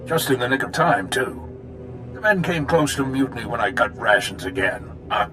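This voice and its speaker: An actor playing a self-serving, easily flustered military commander in a video game, defensive in manner. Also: male voice, mid-range voice, expressive, moderate pace, over music, natural voice. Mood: smug, dismissive. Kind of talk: dramatic video game acting.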